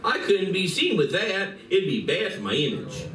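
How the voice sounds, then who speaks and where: A man's voice: high voice, sing-song, moderate pace, a bit bright. A man playing a vain, image-obsessed animal celebrity in a radio play, bickering and sometimes flustered. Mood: smug, dismissive. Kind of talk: live convention radio play reading. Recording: distant, echoey convention room.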